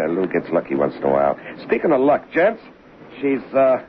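An actor playing a commuter at a poker game in a 1970s radio drama, talking in casual, hesitant phrases. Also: male voice, lowish voice, huge pitch swings, moderate pace, phone-quality sound. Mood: jovial. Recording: band-limited vintage radio, faint noise.

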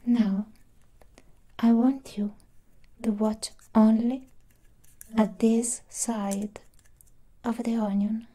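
A young woman with a thick foreign accent voicing a calm, caring, quietly controlling android doll in soft, breathy, whispered, measured ASMR roleplay. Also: fairly high pitch. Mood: soothing, clinical. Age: young adult. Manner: slow, measured, hypnotic instructions. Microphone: close ASMR microphone.

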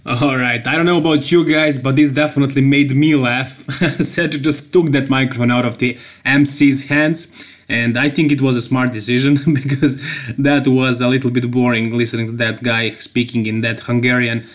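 A man's voice: medium pitch, fairly even intonation, normal speed. A man with a Serbian accent giving casual, joking voice-over commentary on a bodybuilding show, laughing often. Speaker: bodybuilding YouTuber.

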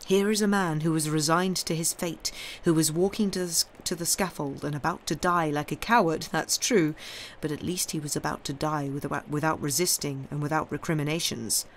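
A man's voice: fairly high pitch, fairly even intonation, a little fast, fairly bright tone, a little hiss or hum. Man playing an intense, scornful Count. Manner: cold, emphatic, declamatory. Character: worldly, cold Count, scornful of mankind, intense.

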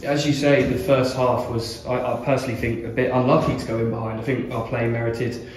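A man's voice: mid-range voice, level tone, a little fast. A man working as a club media interviewer, putting post-match questions. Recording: very echoey room.

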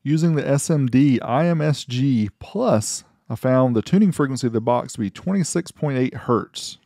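A man's voice: mid-range voice, warm tone, dry recording, clean studio sound. A middle-aged man hosting a casual car audio review, chatty and walking through specs step by step, recorded outdoors on a driveway and then inside a vehicle.

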